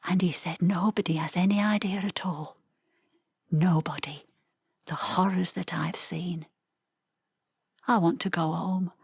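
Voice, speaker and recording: An actress playing an elderly veteran's wife with a Northern English accent, reminiscing in a plain, reflective audio-play monologue. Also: female voice, fairly low pitch, steady tone, unhurried, creaky, pauses often, darkish tone, breathy edge, no echo, clean studio sound, exaggerated voice. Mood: sorrowful, hushed. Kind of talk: verbatim theatre monologue.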